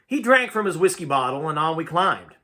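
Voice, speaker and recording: Guy reading a folksy tale out loud, fairly high bright voice, dramatic storyteller. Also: very animated, brisk. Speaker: amateur audiobook reader. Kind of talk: animated storytelling read-aloud in first person.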